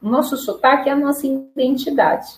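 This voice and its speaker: A woman's voice: sits high, brisk, echoey room, low-quality recording. A middle-aged Brazilian woman teaching Portuguese in a live online lesson, patient and repetitive, often checking in with "né?" and "tá bom?". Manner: patient, repeats examples, frequent "né?" and "tá bom?".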